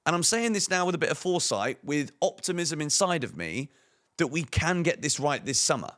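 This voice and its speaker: A man with a croaky, hoarse voice, giving opinionated football transfer news commentary on a fan channel, full of emphatic rhetorical questions. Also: fairly high pitch, big pitch swings, a little fast, a bit bright.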